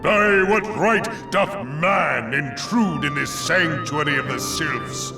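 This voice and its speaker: An ancient storm god for a video game, stern and wise, proclaiming in solemn archaic phrases. Each word is very slow and weighty, ringing out from a distance in a vast echoing space, with loud music beneath. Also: male voice, fairly high pitch, lively intonation, vocal fry, exaggerated voice. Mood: solemn, challenging. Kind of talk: scripted video game voice acting.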